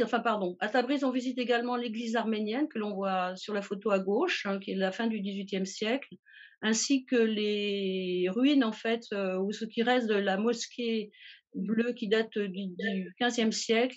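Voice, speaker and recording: A woman's voice: mid-range voice, steady tone, moderate pace, dull audio. A woman from a travel agency presenting a tour itinerary from slides, hesitating often with fillers and restarts.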